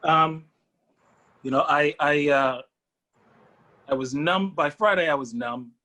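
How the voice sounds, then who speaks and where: A man on a panel speaking personally and reflectively, haltingly searching for words. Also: on the high side, bright, forceful tone. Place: online video call.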